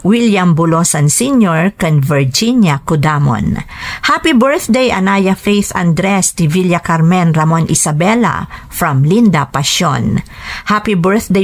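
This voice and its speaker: Ilocano lady narrating drama letters n shout-outs, voice a bit on the low side. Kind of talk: scripted radio drama narration.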